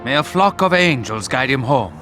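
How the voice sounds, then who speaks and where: A man's voice: mid-range voice, sing-song, moderate pace, music in the background, close to an everyday voice. An actor playing an Italian WW2 officer in a video game, worried for his brother, wry, with an Italian accent. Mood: solemn, poetic. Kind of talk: video game cutscene acting.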